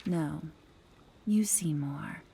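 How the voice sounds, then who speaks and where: A pensive, sorrowful fox spirit from a fantasy game. Her voice is low and dusky, with a creaky edge. She speaks softly and breathily, measuring each wistful phrase. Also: drawn-out words, slight background noise, heavily acted. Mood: intrigued, probing. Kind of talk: cinematic game acting.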